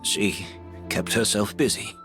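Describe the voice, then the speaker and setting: An actor playing a remorseful, ailing gentleman in a video game, speaking solemnly and courteously in measured phrases. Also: lowish voice, over music. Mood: somber explaining. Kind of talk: dramatic game voice acting.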